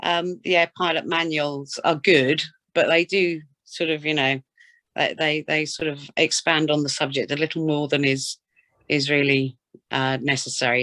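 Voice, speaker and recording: A woman's voice: deep voice, fairly bright tone, dry recording. British woman, dry sound, slight cold. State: getting over a cold. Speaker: air traffic controller, flying instructor and radio examiner. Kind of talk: casual self-introduction and chat.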